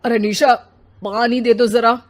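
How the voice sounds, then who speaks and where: A woman's voice: a little high, flat delivery, measured pace. A woman voicing an irritable, tired office-worker father in a cartoon family story, grumbling and exasperated. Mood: distracted.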